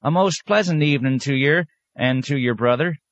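A man's voice: medium pitch, moderate pace, no room sound, pitched above the performer's own voice, natural voice. A man voicing an old, toothless tomato woman who drawls in rustic, old-fashioned speech. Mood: wheedling.

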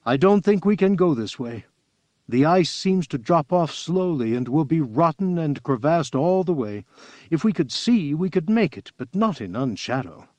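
A man voicing a stoic, winded expedition companion in an audiobook, with a breathy, strained voice and clipped delivery. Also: a little high, sing-song, moderate pace, a little mellow, no room sound, voice pushed up. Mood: firm, practical.

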